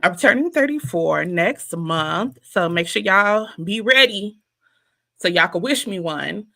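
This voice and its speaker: Woman, thirties, bright voice, casual vlog commentary, emphatic and repetitive. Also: medium pitch, expressive, measured pace, gravelly. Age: 30s. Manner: emphatic, repeats points, addresses viewers directly. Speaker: reality TV reviewer.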